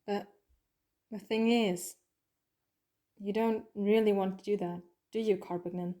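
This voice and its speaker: Clever, coaxing heroine female performer, mid-pitched, conversational and teasing, for an audio drama about an alien traveller. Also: steady tone, measured pace, noisy background, close to an everyday voice. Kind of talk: fan audio drama acting.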